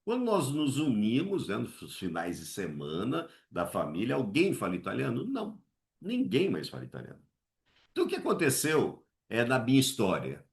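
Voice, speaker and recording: A man's voice: huge pitch swings, moderate pace, gravelly. A man from Brazil teaching an exam essay lesson, asking himself questions and answering them, with many tag questions. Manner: swings his pitch high to act out voices.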